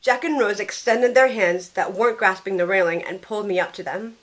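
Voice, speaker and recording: A woman's voice: mid-range voice, brisk, natural voice. Woman acting a grief-stricken young shipwreck survivor. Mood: sorrowful. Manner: earnest storytelling, tearful in dialogue. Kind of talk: first-person scripted narration, amateur audio drama.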